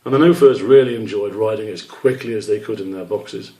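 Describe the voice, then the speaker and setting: A man narrates a children's story in a low voice with a gravelly edge. He reads as the author of the book, recorded at some distance from the microphone. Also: fairly quick, warm tone. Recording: roomy echo, distant microphone.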